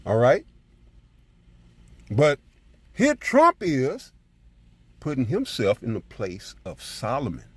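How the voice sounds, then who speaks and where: A man with a husky voice teaching scripture as a preacher, in an emphatic, colloquial style full of rhetorical check-ins. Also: medium pitch, huge pitch swings, takes it slowly, long pauses, dry recording. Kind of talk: fiery informal Bible teaching with commentary on scripture readings.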